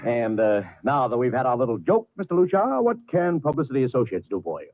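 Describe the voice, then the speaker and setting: A glib, wisecracking publicity agent in a vintage radio play. His mid-range voice is lively and sardonic, on a thin, tinny recording. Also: medium pitch, fast. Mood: wry, dismissive. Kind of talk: old radio drama acting.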